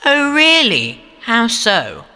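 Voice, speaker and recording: A man's voice: very high voice, wildly swooping pitch, slow pace, sharp tone, big-room sound, full character voice. A man playing a stern, officious Time Lord coordinator in a sci-fi audio drama, with a pompous, formal, commanding manner. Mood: indignant.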